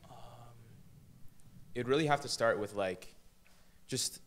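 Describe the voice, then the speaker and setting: A young man, a high school senior guesting on a studio podcast, answering questions hesitantly with fillers and restarts. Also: slow, fairly bright tone. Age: young adult. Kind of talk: interview answers. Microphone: boom-arm studio mic.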